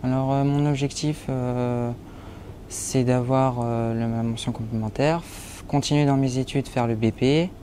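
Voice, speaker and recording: Young man, nineteen, apprentice baker; mellow mid-pitched voice, halting with fillers; faint ambience. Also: fairly even intonation, slight background noise. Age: young adult. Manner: hesitant, many fillers. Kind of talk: interview portrait.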